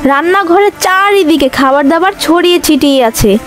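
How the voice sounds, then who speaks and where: High-voiced woman narrating a children's story. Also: normal speed, over music.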